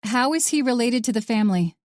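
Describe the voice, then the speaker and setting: A woman's voice: fairly high pitch, lively intonation, fast, a little mellow, no room sound, studio quality, pitched above the performer's own voice, natural voice. A woman voicing a tense young female agent who talks in a clipped, demanding way.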